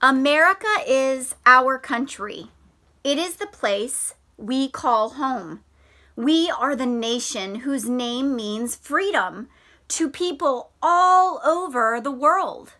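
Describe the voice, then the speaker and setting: Woman, elementary teacher, high-pitched, unhurried, expressive read-aloud for children. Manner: deliberate, expressive, explains with questions to children. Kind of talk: read-aloud picture book lesson for children.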